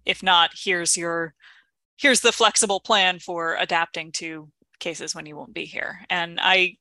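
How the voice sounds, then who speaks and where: Woman on an education podcast, bright crisp-edged voice, lowish, dry studio-like sound, measured chatty explaining. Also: no room sound, poor audio. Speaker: podcast co-host, instructional designer. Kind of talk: conversational educational podcast talk.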